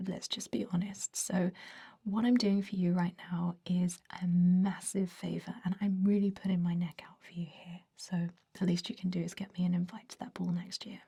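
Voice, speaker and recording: A woman voicing a scheming, chatty vampire recruiter in a whispered ASMR roleplay, with a soft breathy voice and a conspiratorial, casual manner. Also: fairly low pitch, flat delivery, moderate pace. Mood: bargaining. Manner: chatty, conspiratorial, hedging with likes and ums.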